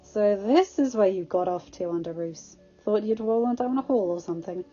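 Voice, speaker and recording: A woman's voice: mid-range voice, a little fast, dark tone, highs cut off, soft background music, close to an everyday voice. Woman playing a teasing, theatrical fatherly man. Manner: teasing, mock-offended.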